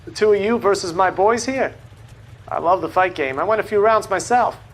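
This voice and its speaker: A man's voice: high voice, moderate pace. A male actor playing a cocky gangster boss in a video game, brash, mocking and taunting.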